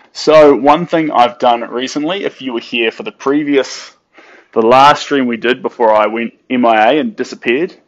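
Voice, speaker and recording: A man's voice: medium pitch, muffled recording. A man, chatting casually to his viewers while he paints. He stays upbeat and talkative and drifts into snatches of song. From New Zealand. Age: late 20s. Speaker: artist streaming while painting. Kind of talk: casual live-stream chat while painting.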